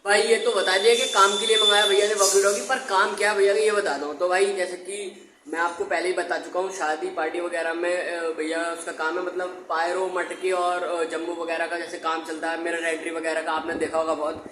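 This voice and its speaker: A young man talks casually to his viewers in a chatty, informal way. His voice sits a little high and moves within a narrow, flat range. The room is echoey, with some background noise. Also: fairly quick. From India. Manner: informal, chatty, often addressing viewers as brother. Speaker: vlogger. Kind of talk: casual chat to camera.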